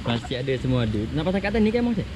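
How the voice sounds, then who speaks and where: A man's voice: medium pitch, big pitch swings, very fast. A man with a Malaysian accent in a casual outdoor vlog, bantering and calling out directions to his friends. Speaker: vlogger filming a camping trip with friends. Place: outdoors in a forest by a stream. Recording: noisy outdoor action-camera sound, vehicle noise.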